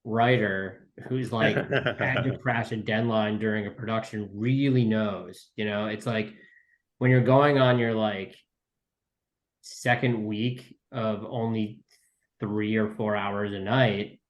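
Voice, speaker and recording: A man's voice: lowish voice, steady tone, takes it slowly, dull audio. A man talking casually as a writer being interviewed, in an informal, rambling way. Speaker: writer and podcast guest.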